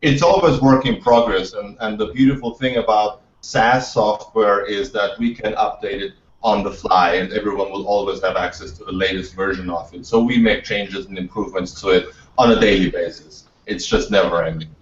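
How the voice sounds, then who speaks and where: A man's voice: lowish voice, normal speed. A man from Austria, talking as an entrepreneur guest, keen and full of restarts and repeated words. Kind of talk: interview answers. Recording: dull, roomy, distant from the mic.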